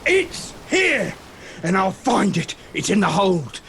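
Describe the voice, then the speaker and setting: Horror audio drama: a mad, frightened sailor, very high strained voice, slow and theatrical, faint noise behind. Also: male voice, very animated, creaky, a bit bright, full character voice. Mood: frantic terror. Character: terrified, raving mad ship's mate. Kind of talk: theatrical horror radio acting.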